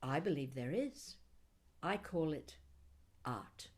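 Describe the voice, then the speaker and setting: A woman reading her own poetry aloud, with deliberate, measured phrasing, dramatic pauses and short chatty asides. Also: deep voice, animated, a little mellow, husky. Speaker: poet reading own work.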